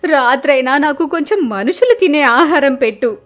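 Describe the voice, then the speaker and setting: A loud, exasperated older mother-in-law from a village tale, acted out by a woman storyteller. She scolds and grumbles in a high voice, bossy and easily outraged, with music underneath. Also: expressive, normal speed. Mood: angry, scolding. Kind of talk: animated village moral story acting.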